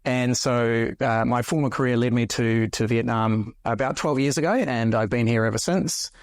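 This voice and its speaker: Relaxed middle-aged man, dry sound. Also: medium pitch, normal speed, clean tone, no room sound. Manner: relaxed, self-deprecating. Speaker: panelist, executive director. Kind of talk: panel conversation.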